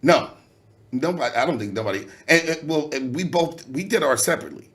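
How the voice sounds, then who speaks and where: A man's voice: expressive, fairly quick, a bit bright. A man, a rapper talking candidly as an interview guest, swearing freely and full of fillers. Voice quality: nasal yet low voice. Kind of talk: casual interview conversation.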